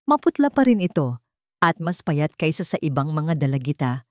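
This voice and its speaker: Low-voiced Filipino woman narrating, very dry sound. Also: sing-song, normal speed, a little mellow, no echo. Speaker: story narrator. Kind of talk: dramatic scripted story narration.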